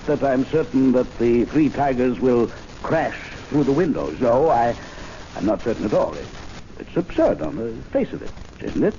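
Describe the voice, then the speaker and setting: Pompous club gentleman, crisp radio-drama delivery. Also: mid-range voice, sing-song, moderate pace. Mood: uneasy, haughty. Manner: formal, pompous. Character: stuffy, self-important gentlemen's club member, easily affronted. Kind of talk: old-time radio drama acting. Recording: dull lo-fi radio recording with hiss.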